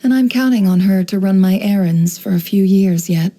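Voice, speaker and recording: An actress playing a shrewd, worldly woman who runs an intelligence business in a video game, speaking in a composed, wry, measured way. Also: mid-range voice, warm tone, close to an everyday voice. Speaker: video game character voice actor. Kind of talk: scripted game character voice lines, monologue.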